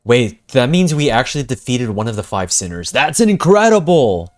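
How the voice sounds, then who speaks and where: Casting: young male game streamer, mid pitch with big swings, excitable, slangy, off-the-cuff reaction commentary. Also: normal speed. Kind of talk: casual live gameplay reaction.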